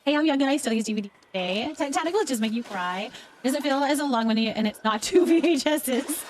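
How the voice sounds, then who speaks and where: Chatty higher-voiced woman live streamer. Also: expressive, a little fast, a little mellow, a little hiss or hum. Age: middle-aged. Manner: chatty, informal, effusive thanks to viewers. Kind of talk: casual live stream chat, reading and answering viewer comments.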